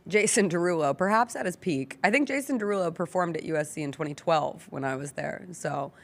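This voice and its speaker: A woman's voice: on the low side, lively intonation, brisk, vocal fry. A woman hosting a talk show in casual, playful, teasing chat. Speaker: sports talk show host.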